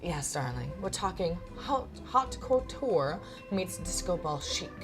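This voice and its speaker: A woman's voice: on the low side, big pitch swings, takes it slowly. A woman voicing a suave, theatrical, fashion-obsessed animal in a visual novel, with a drawling, affected, pompous delivery. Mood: grandly self-satisfied. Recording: music behind the voice.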